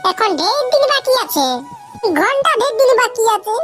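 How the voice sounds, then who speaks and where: An actor voicing a blunt, teasing rustic mother in a comic cartoon, with a Purulia Bengali accent and an earthy, cajoling way of talking in a pitched-up voice. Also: expressive, moderate pace. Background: music.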